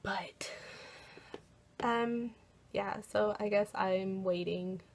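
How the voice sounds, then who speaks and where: A young American woman chatting casually in a vlog, often pausing as she tries to recall things. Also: medium pitch, steady tone, vocal fry. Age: young adult. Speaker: college program applicant vlogger.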